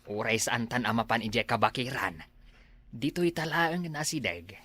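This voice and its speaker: A man's voice: medium pitch, normal speed, pushed and bright. A man with an Ilocano accent playing a scheming village rascal in an amateur comedy drama. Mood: jolly, scheming.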